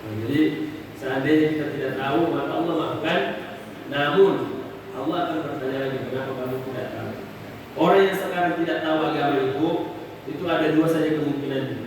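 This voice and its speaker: A man's voice: a little high, fairly even intonation, fast talker. A man giving a conversational Islamic sermon, explaining patiently and repeating phrases for emphasis. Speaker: Islamic preacher answering questions. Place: mosque hall. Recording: echoey mosque hall, distant mic, noisy.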